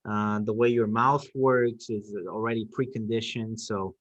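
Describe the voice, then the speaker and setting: A man's voice: fairly low pitch, steady tone, measured pace, no echo, lo-fi sound. Reflective man, Portuguese native, dry sound. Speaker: host. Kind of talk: casual conversation.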